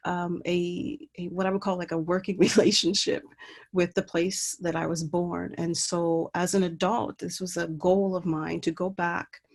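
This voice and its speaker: Low, flat-voiced woman presenting her art. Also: normal speed. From Puerto Rico. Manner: hesitant, many ums and restarts, reflective. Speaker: artist presenting her work. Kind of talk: walking through slides in an online artist talk. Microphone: computer mic on a video call.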